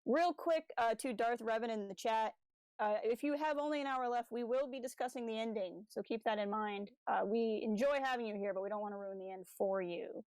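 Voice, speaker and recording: Lady cohosting a podcast, voice a little high, super dry recording, just casually chatting thru a review. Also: fairly quick, darkish tone, no room sound, rough recording. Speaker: podcast co-host.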